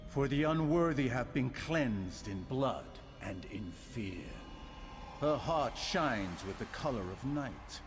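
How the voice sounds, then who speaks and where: An actor playing a solemn, commanding leader of an assassin brotherhood in a fantasy video game, with grave, ritual delivery. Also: male voice, fairly low pitch.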